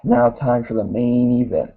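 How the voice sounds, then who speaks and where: A man reviewing a wrestling show in a rambling casual way, saying "guys" constantly and getting hoarse. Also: medium pitch. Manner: mocks wrestlers in put-on voices. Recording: tinny phone-like lo-fi audio.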